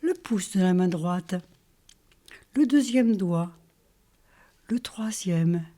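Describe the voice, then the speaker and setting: A woman leading a guided yoga nidra relaxation as a teacher, calm and soothing, in short phrases with pauses. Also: on the low side. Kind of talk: guided relaxation meditation, instructional.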